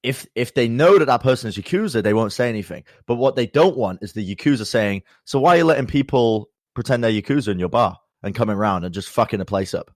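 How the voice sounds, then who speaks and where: A man's voice: medium pitch, animated, fast, hardly any pauses, no echo, studio quality. A man co-hosting a podcast, chatting casually and explaining things, often laughing. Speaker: podcast co-host. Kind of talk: casual chat.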